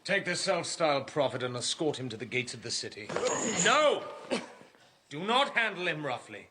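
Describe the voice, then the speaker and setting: An actor playing a proud, contemptuous young king in a classical radio drama, with a husky voice and an imperious, declamatory manner. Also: a little high, sing-song, normal speed, background noise. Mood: commanding, angry. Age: young adult.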